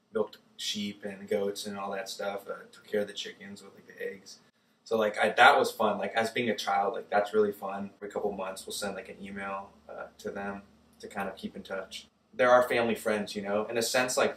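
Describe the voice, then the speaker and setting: A young man from Germany, a native German speaker, casually telling his life story in an interview, relaxed and full of fillers like "like" and "uh". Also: on the low side, moderate pace, echoey room, recorded from a distance. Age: young adult.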